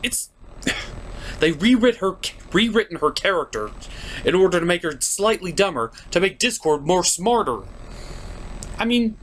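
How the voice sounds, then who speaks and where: A man hosts a review with theatrical, exasperated delivery. His pitch swings widely as he builds each complaint in opinionated commentary. Some background noise sits under the voice. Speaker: host of a cartoon review show.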